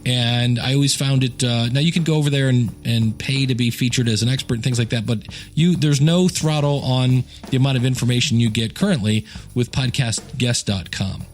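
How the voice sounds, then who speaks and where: A man's voice: medium pitch, brisk, soft background music. A man hosting a podcast in a casual, chatty way, rambling into joking, self-deprecating asides. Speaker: podcast host and podcasting teacher. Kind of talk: casual solo podcast talk with plugs and announcements.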